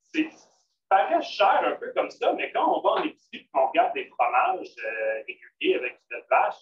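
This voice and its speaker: Sa voix assez haute, captée à distance dans une pièce réverbérante, sonne sourde et un peu lointaine. C'est un homme à l'accent québécois qui explique une recette en direct, sur un ton familier, en cherchant ses mots. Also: male voice, on the high side, wildly swooping pitch, moderate pace. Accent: Québécois French. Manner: casual, many fillers and restarts. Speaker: cook at a vegan restaurant. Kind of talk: live cooking demonstration. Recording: roomy, distant, dull, faint noise.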